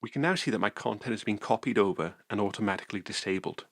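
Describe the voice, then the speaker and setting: A man calmly narrating a software tutorial screencast, explaining each step methodically. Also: fairly low pitch, fast talker, no echo, clean studio sound.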